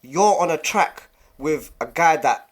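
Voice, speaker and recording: A man's voice: on the high side, lively intonation, measured pace. A young man from East London with a local accent, chatting casually in slang as a podcast host and repeating phrases for emphasis. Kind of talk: casual group chat with slang.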